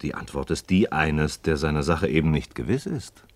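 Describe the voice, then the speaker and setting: Deep, lively, sceptical questioning friend. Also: male voice, normal speed, dry recording. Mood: sceptical, insistent. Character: inquisitive, sceptical male friend pressing for answers. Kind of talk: German radio play dialogue.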